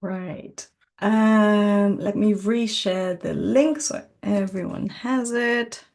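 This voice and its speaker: A woman hosting an informal online journal club over a video call, explaining a paper in a hesitant way and pausing often to find her words. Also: medium pitch, darkish tone. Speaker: journal club presenter and host. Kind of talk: informal online seminar presentation.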